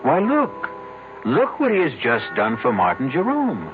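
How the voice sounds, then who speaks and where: A man's voice: medium pitch, huge pitch swings, unhurried. A man hosting a radio mystery show with theatrical, scripted narration, dramatic and sardonic in delivery. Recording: tinny band-limited old radio broadcast, music behind.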